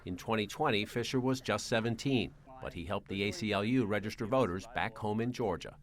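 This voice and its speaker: A man's voice: lowish voice, brisk. A man narrating a scripted news report as a correspondent.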